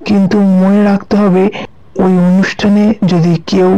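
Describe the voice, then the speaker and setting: A woman's voice: medium pitch, little pitch movement, moderate pace, mellow, dull audio, natural voice. A woman playing a commanding, vengeful demon fairy in a fairy-tale cartoon. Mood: imperious, warning. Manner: commanding, menacing. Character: imperious, vengeful demon fairy who lays curses.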